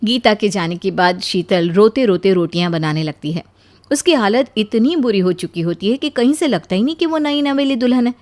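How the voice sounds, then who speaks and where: A woman's voice: medium pitch, lively intonation, moderate pace, a little mellow. A woman narrating a scripted story as its storyteller.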